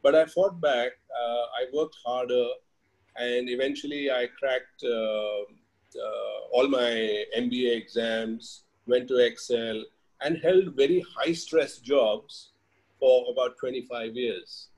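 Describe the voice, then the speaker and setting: A man's voice: medium pitch, fairly even intonation, takes it slowly, darkish tone, rough recording. Male interview guest, middle-aged, Indian accent, mid-range voice, conversational and reflective.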